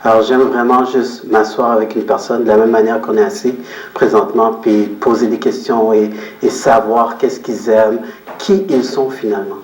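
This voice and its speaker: A man answering interview questions as a guest, explaining his outlook in a reflective, measured way and repeating phrases for emphasis. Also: lowish voice, fairly even intonation, darkish tone. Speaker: interview guest, photographer and business owner. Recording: roomy echo, distant microphone.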